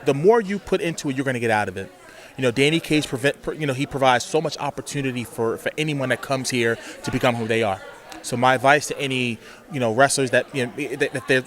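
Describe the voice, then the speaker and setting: Man chatting in interview, mid-pitched voice. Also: fairly bright tone. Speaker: pro wrestler being interviewed. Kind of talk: casual interview chat.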